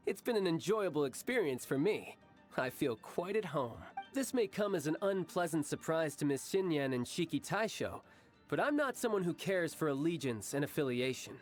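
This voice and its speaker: A young, battle-loving game warrior with a sly, self-assured manner. The speech is theatrical and teasing, with lively swings in pitch, over light music. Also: male voice, moderate pace. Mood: smooth, confident, then cocky, battle-hungry. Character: cocky young male warrior who relishes battle, sly and smooth. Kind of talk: video game voice acting.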